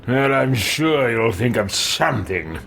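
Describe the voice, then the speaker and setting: A man's voice: medium pitch, expressive, measured pace, creaky, fairly bright tone, faint music behind. A man doing in-character commentary as a gaming role-player, in a smug, theatrical way. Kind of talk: in-character let's play commentary.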